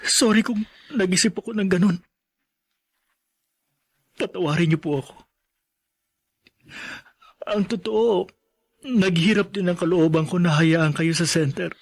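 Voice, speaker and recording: Man acting young husband, melodrama, fairly high husky voice, earnest, deferential, pleading. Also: a little slow, long pauses, exaggerated voice. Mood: pleading, remorseful. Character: remorseful young husband and new father, respectful, pleading.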